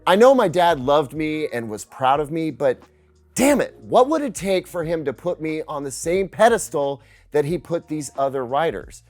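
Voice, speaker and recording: A middle-aged American man presents a personal scripted story as a reviewer, earnest and confiding, with dramatic pauses. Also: on the high side, big pitch swings, darkish tone, faint music behind. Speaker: tech and speaker reviewer, video host.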